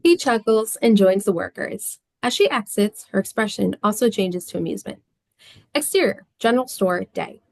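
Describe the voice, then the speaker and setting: A woman's voice: medium pitch, expressive, normal speed, gravelly, no room sound. A woman reading scene descriptions and stage directions as the narrator of a script.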